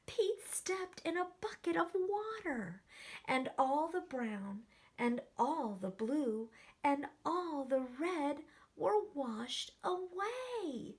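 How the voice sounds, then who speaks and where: A woman teacher reading a picture book aloud to children, animated, with gasps and questions to the kids. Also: high voice, takes it slowly. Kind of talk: children's story read-aloud.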